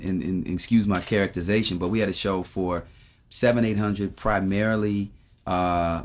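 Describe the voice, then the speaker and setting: A man with a deep, low-pitched voice speaks in a reflective, earnest way as a guest in a relaxed interview. He grew up in Ethiopia, and he slips into rhythmic recited lines now and then. Faint room noise sits behind him. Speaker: guest musician. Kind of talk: casual interview talk.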